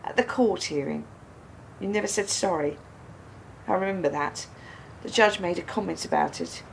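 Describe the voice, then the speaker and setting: An actress in a radio play voicing an embittered, grieving widow who speaks with accusing, pointed questions. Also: female voice, fairly low pitch, normal speed, creaky, a little hiss or hum. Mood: cold, accusing. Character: embittered grieving widow confronting her husband's killer.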